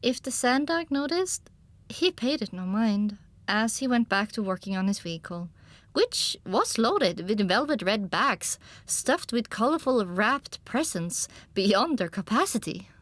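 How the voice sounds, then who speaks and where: A woman narrating a scripted first-person story for an audiobook in an expressive storytelling manner. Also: on the high side, measured pace, no echo.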